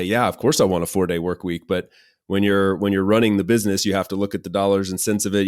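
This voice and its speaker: Low-voiced American male podcast host. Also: clean tone, dry recording, clean studio sound. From United States. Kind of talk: casual conversational podcast hosting.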